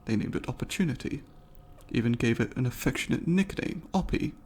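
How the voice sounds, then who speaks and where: A man voicing a curious, courteous alien researcher in an ASMR roleplay, speaking formally and deliberately, with academic wording and a gravelly voice. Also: medium pitch, lively intonation, a little mellow. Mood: intrigued, questioning. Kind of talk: ASMR roleplay, scripted monologue to the listener.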